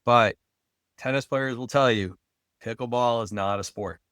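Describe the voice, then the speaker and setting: A man's voice: medium pitch, unhurried, a bit bright, clean tone, dry recording, clean studio sound. A middle-aged man speaking casually as an interview guest, making his points emphatically and repeating phrases. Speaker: podcast guest, brand marketing expert.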